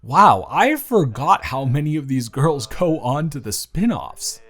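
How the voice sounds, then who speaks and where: A man's voice: on the high side, sing-song, measured pace, a bit bright, full character voice. A young man playing a teasing, affectionate best friend of someone's brother in a casual, slangy romantic roleplay audio drama. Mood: upbeat.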